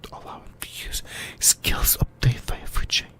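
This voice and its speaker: A person whispering breathily in a halting ASMR explainer about anime lore, often repeating phrases. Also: sharp tone. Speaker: YouTuber, ASMR host.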